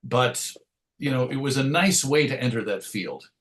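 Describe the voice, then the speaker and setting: A middle-aged man with a medium-pitched voice reminisces as an interview guest. He talks casually and tells his stories in a chatty, wry way. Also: lively intonation, normal speed, fairly bright tone. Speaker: interview guest, comics writer and editor.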